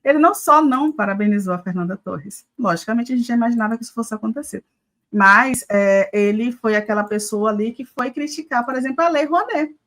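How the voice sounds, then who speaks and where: A woman's voice: on the high side, brisk, fairly bright tone. Chatty woman hosting live news. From Brazil. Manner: conversational, many filler hesitations. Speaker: news show host. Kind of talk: live commentary show.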